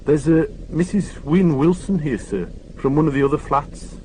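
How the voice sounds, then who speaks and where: A polite young constable reports to his superior, as a male narrator puts him on. He is respectful and deferential, and his voice sits higher than the narration. It is a storybook reading. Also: normal speed, darkish tone, a little hiss or hum, natural voice.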